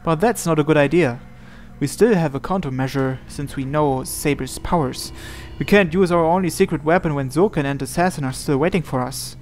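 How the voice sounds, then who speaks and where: A man's voice: on the high side, fairly quick. A man reading first-person visual novel narration aloud as he plays. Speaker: game player reading aloud. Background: soft game music.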